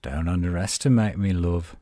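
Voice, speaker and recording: A man playing a cocky, sardonic werewolf guardian in a roleplay audio, teasing and flirtatious, with a husky, drawling delivery. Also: low-pitched, moderate pace, mellow, dry recording, clean studio sound, close to an everyday voice. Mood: teasing, seductive. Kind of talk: roleplay audio acting, one side of a dialogue.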